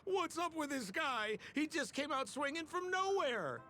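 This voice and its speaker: An actor playing an excitable, slippery young male rogue in a video game, exclamatory and theatrical in his delivery. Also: very high voice, measured pace, heavily acted. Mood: startled, brash. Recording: game music behind the voice. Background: game music.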